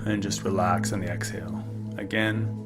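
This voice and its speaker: A man leading a guided meditation in a calm, unhurried, gently instructive way. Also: deep voice. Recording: music behind the voice. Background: music.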